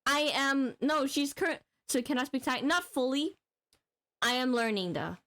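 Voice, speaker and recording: Casting: young female game streamer from Denmark, highish voice, excitable, giggly, sweary, casual chat. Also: normal speed. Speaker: live streamer. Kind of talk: casual gaming livestream chat.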